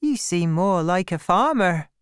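A woman voicing a shy, secretive young village girl with a soft voice in a storybook reading. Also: fairly low pitch, lively intonation, a little slow, smooth, no room sound, clean studio sound, pitched above the performer's own voice.

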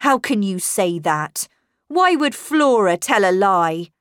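A woman's voice: medium pitch, sing-song, takes it slowly, a bit bright, clear, resonant tone, no room sound, studio quality. A woman voicing a troubled, kindly confidante in a storybook narration, doubting and protective, with an earnest, questioning manner.

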